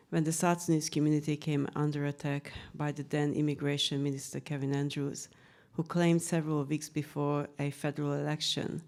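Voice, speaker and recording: Woman, Hungarian accent, low pitch, mellow tone, earnest, measured, prepared talk. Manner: measured, earnest delivery with occasional fillers. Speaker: author and researcher presenting her book.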